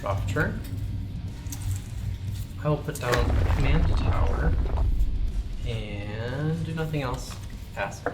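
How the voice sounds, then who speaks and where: Card player: unusually low, slow woman, terse; distant roomy mic. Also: very noisy. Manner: terse, matter-of-fact.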